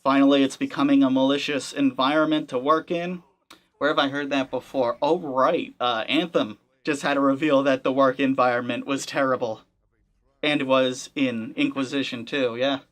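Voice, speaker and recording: A man's voice: medium pitch, fairly even intonation, normal speed. Young male gaming host, casual to-camera commentary, skeptical and wry, mid-range voice. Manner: conversational, skeptical, wry asides. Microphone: camera-facing setup.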